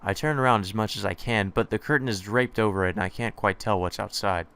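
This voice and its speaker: Low-voiced man reading a visual novel. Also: fast talker, fairly bright tone, clear, resonant tone. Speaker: let's play host reading a visual novel aloud. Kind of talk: reading game text aloud with asides.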